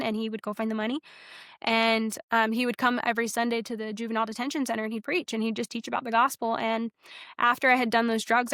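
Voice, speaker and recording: A woman's voice: on the high side, fairly bright tone, clean tone, no room sound, studio quality. A young woman frankly telling her personal faith story in a podcast interview, earnest, with many fillers and restarts. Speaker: guest sharing her testimony.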